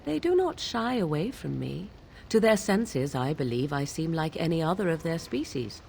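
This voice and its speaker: A woman voicing a proud, aloof young witch of the wilds in a fantasy game, speaking in archaic, measured, formal phrases. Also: sits low, big pitch swings, creaky, a little mellow, a little hiss or hum, heavily acted. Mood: guarded, musing. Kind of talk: fantasy video game dialogue.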